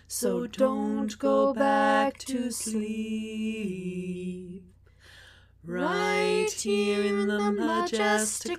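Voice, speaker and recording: A woman sings a gentle lullaby in a fairly high voice, drawing the words out very slowly. Music plays loudly beneath her. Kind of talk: singing a gentle lullaby-like song. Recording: loud music under the voice. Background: loud music.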